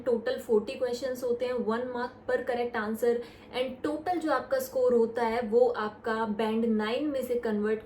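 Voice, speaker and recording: A woman's voice: on the high side, a little mellow. A young woman with an Indian English accent walking viewers through an exam in a casual step-by-step tutorial. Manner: explains step by step, slows down on English phrases. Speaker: test-prep YouTuber. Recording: echoey room with faint noise.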